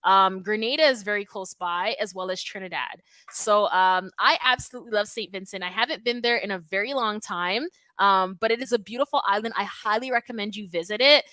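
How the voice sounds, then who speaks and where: A woman from Toronto hosting a live stream, answering viewer questions in an emphatic, animated, conversational way. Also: mid-range voice, fairly quick, no room sound. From Toronto, Canada. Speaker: natural hair YouTube host.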